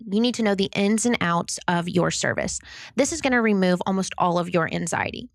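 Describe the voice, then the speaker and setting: A young woman with a high-pitched voice, co-hosting a podcast and talking through sales tips in an upbeat, candid, self-deprecating way. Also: creaky, dry recording, studio quality. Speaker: podcast co-host. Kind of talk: solo podcast advice talk.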